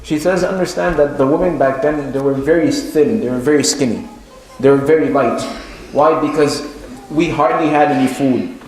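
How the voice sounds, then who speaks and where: A man preaching a religious lesson, moving between Arabic recitation and English explanation in a halting, repetitive way. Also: fairly high pitch, a little mellow. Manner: explanatory, halting, repeating phrases. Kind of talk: sermon retelling scripture, reciting Arabic and translating into English. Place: indoors in a mosque. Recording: echoey, reverberant room.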